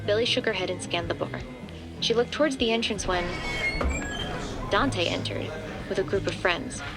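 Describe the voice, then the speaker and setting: A woman's voice: medium pitch, animated, normal speed. Woman narrator over a music bed. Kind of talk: scripted dramatic narration.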